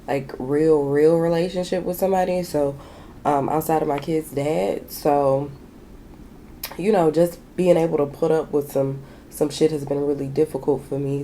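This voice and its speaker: A young woman with a raspy, hoarse voice, chatting candidly and swearing casually as she does her makeup at home. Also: mellow, a little hiss or hum. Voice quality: raspy, deep voice. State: hoarse from sinus trouble. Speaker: beauty vlogger. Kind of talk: casual chat while doing makeup. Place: small bedroom with shelves and a lamp.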